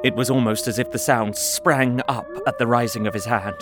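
A man's voice: mid-range voice, fairly even intonation, normal speed, lightly acted. A young man playing a frightened Englishman who narrates his ordeal in an earnest, tense way for an audio drama. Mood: fearful. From England. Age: young adult. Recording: music score under the voice.

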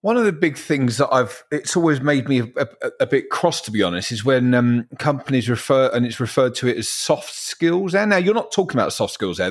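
A man hosting an interview, asking long, energetic questions full of restarts. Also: mid-range voice. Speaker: interview host.

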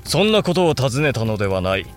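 A brooding samurai lord acted by a man with a mid-pitched male voice. He speaks with grave, deliberate authority, as in a historical radio play, with music underneath. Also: very animated, natural voice. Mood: intense, commanding. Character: brooding, resolute samurai lord and elder brother. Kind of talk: Japanese period audio drama acting.

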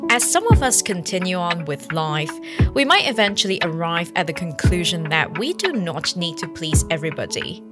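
Expressive woman host with lower voice. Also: moderate pace, over loud music. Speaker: podcast host. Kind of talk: scripted narration.

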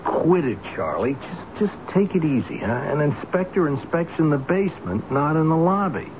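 A man's voice: a little high, big pitch swings, moderate pace. Swooping, anxious man pleading kindly. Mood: cajoling. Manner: hesitant, pleading, cajoling. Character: anxious, kindly building super, pleading and placating. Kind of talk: old-time radio drama acting. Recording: muffled, noisy old radio broadcast.

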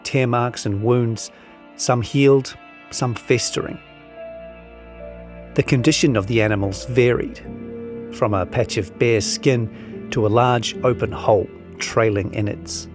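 A man's voice: medium pitch, takes it slowly, long pauses, music in the background. A man giving a scripted narration of a long-form article.

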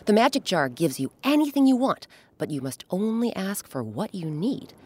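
A woman hosting and narrating a children's folktale in an expressive, dramatic storytelling style with pointed pauses. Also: medium pitch, slightly rough. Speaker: host and storyteller. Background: music.

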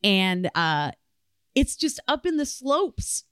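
Woman co-host for a chatty podcast, informal and colourful, smooth tone, no room sound. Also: mid-range voice, sing-song, slow, fairly bright tone, no echo, studio quality. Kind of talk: casual chat.

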